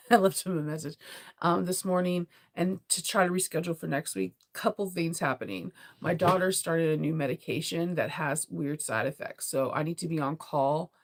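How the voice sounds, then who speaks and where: A middle-aged American woman with a congested, cold-affected voice, chatting casually and ramblingly as a sewing vlogger on a livestream. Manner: rambling, giggly, conversational; sleepy, low energy.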